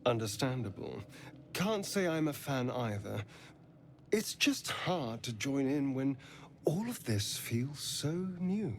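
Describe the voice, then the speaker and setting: A man's voice: medium pitch, big pitch swings, slow, a little hiss or hum. A man voicing a wry, urbane and uneasy adventurer in a video game, with dry, refined, languid phrasing. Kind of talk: video game character acting.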